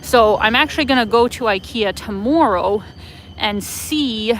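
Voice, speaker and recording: A Canadian woman in her thirties, her voice sitting a little high, talks in a loose and rambling way full of fillers. She records on a handheld phone out on city streets, with faint street noise behind her. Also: fairly bright tone. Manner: chatty, rambling, many fillers and laughs. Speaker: travel vlogger. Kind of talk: live walking-tour chat.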